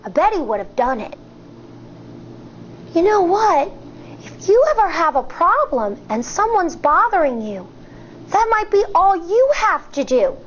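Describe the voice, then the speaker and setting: A woman teacher in her thirties reads a picture book aloud to children, animated and expressive. Her voice sits high and swoops around at an unhurried pace. The recording is a little dull, with a faint hiss behind. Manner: animated, expressive and chatty with asides. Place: studio in front of a blue backdrop.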